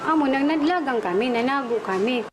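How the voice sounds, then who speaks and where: Female witness, Waray accent, high voice, unscripted account, clearly noisy on-location recording. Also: animated, fairly quick. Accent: Waray, Philippines. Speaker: interviewed witness. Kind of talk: spontaneous interview account. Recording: noisy on-location interview sound.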